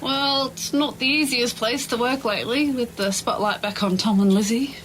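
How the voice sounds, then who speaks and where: An actress playing an easygoing, tactful restaurant worker in an audio drama, talking casually. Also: female voice, on the high side, normal speed, a bit bright, a little hiss or hum, close to an everyday voice, poor audio. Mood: tactful, reluctant.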